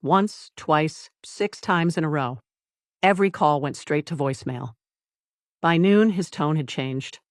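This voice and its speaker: A narrator reading a scripted dramatic story in a measured, deliberate way, with short phrases and pauses. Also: medium pitch, no echo, studio quality. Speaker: audiobook narrator.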